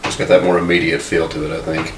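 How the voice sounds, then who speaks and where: A man in casual podcast talk, sharing a thoughtful, measured view. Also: a bass voice, flat delivery. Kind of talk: casual chat. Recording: distant echoey mic with faint noise.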